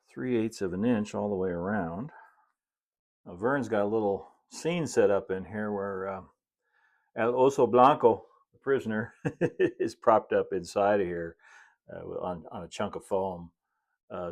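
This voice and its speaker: A middle-aged American man talks through a hands-on project in a fairly low voice with a gravelly edge. His delivery is casual and unhurried, with a folksy habit of thinking aloud as he works. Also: a little mellow. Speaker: host, model railroad builder. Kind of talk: casual hands-on tutorial talk while working. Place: indoor hobby workshop at a cluttered workbench and layout.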